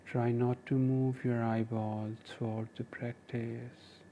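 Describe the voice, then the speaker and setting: A man with an Indian accent leading a guided meditation, giving gentle, measured instructions in short phrases with pauses. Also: medium pitch, flat delivery, muffled recording, a little hiss or hum. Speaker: meditation teacher and podcast host.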